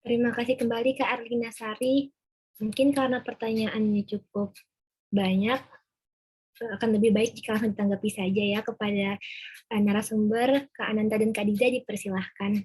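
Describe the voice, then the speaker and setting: Woman, fairly high husky voice, formal polite moderator, online session. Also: fairly even intonation, normal speed, darkish tone, low-quality recording. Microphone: online video call.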